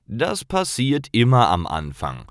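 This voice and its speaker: A man narrating and voicing Karl, a friendly young man who encourages his friend. The delivery is slow and carefully articulated with lively intonation. The sound is close and dry. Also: medium pitch, no echo, pitched above the performer's own voice.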